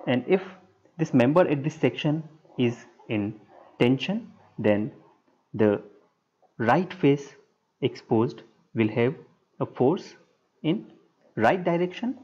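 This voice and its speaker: A man lecturing at a very slow, deliberate pace, breaking his explanation into short halting phrases. His voice is mellow and a little husky. The sound is dull and lo-fi, like a basic screen-recording mic. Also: mid-range voice, animated. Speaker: engineering lecturer.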